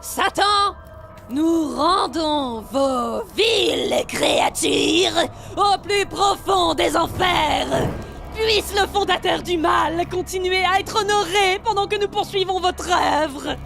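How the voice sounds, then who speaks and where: A woman's voice: very high-pitched, takes it slowly, creaky, exaggerated voice. A woman playing a sadistic demon-hunting mother in a cartoon dub, theatrical and mock-sweet as she draws out her threats. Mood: furious zeal. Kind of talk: animated series dub acting. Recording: loud music behind the voice.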